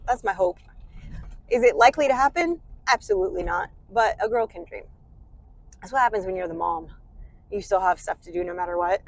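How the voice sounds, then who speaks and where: A woman's voice: mid-range voice, poor audio. An American woman hosting a casual vlog while driving, sick and congested with a light cough, chatty and rambling with self-deprecating humor. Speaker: channel host. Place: in a car.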